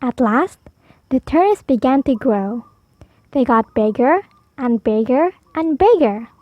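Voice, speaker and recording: A woman storyteller reading a picture book aloud for preschoolers, animated, drawing out key words for emphasis. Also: high voice, unhurried, dry recording, muffled recording. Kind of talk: expressive read-aloud for preschoolers.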